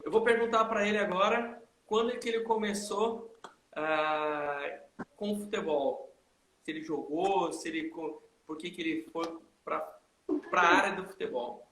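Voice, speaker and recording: Bright-voiced lively male host. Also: sits high, measured pace, roomy sound, slight background noise, poor audio. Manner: lively, greets viewers by name. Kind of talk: live video chat, switching to halting English.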